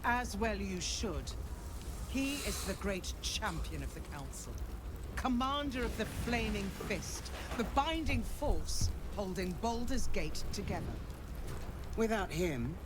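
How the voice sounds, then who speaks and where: A dignified female councillor in a fantasy game, resolute and formal. She speaks slowly at a medium pitch, with clear noise behind her. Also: expressive. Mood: grave, formal. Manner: formal, stately, measured. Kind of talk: video game voice acting.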